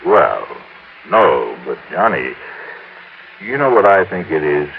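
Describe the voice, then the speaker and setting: An actor playing a folksy, excitable fishing guide who chats and confides in 1950s radio drama style. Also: male voice, extremely low pitch, slow, creaky, heavily acted. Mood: confiding, suspicious. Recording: narrow, noisy old radio recording.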